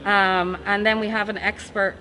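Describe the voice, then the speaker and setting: Irish woman professor, chatty and warm. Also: mid-range voice, level tone, normal speed, a little hiss or hum, poor audio. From Ireland. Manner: warm, chatty, laughs often. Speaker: forensic science professor, guest. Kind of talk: casual interview chat.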